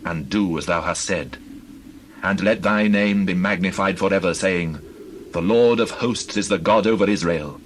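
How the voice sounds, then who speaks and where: A man's voice: deep voice, a bit bright, rough recording. A man playing a devout, magnanimous king of ancient Israel in a dramatized scripture reading, speaking in a solemn, reverent, declamatory manner. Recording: clear background noise with birdsong.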